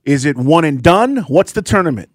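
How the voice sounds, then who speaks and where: A man's voice: a little high, animated, moderate pace, dry recording, studio quality. A man co-hosting a sports radio show, asking questions in a casual, hesitant way with some playful asides. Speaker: radio co-host.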